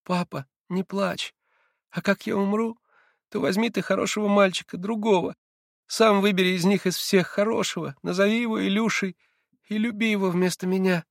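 Storybook casting: frail tender young boy, hushed and high, read by a man. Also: steady tone, fairly quick, vocal fry, long pauses, dry recording, clean studio sound. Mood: pleading. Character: sick little boy, tender and pleading.

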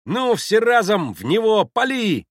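A man voicing jeering, excitable schoolboys of about eleven in an audiobook, shouting and taunting. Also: high voice, moderate pace, dry recording, studio quality, voice pushed up. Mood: excited, jeering.

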